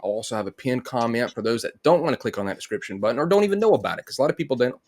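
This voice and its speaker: A man's voice: medium pitch, animated, racing, a little mellow. A middle-aged man hosting a casual interview in a rambling, conversational way. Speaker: interview host. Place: home room with bookshelf, on video call.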